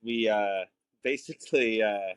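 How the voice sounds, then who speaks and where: A man's voice: lowish voice, measured pace, smooth, no room sound. New guy chatting on a podcast, easygoing, laughs a lot, dead dry mic. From New Orleans. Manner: frank, easygoing, laughs often. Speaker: guest filmmaker. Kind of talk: casual podcast chat.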